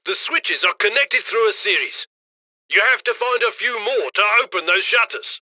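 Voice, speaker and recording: Cocky heist boss for a video game, higher voice, super bright n punchy, slick chatty mission orders. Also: male voice, animated, moderate pace, dry recording, heavily acted. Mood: firm, instructing. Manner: slick, conversational, egging the crew on. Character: cocky, upbeat male criminal heist handler. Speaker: heist handler giving mission directions.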